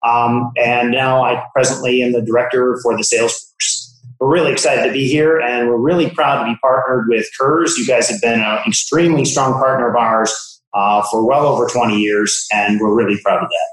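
A clearly roomy recording of a mature man with a medium-pitched voice. He talks conversationally, as a sales director being interviewed. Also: steady tone, moderate pace, fairly bright tone. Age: middle-aged or older. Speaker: sales director, podcast guest. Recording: roomy, echoing space.

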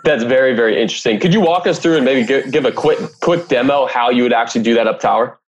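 A man's voice: medium pitch, fast talker, slight background noise. A man hosting a podcast interview, asking questions in a polite, encouraging way. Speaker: podcast host.

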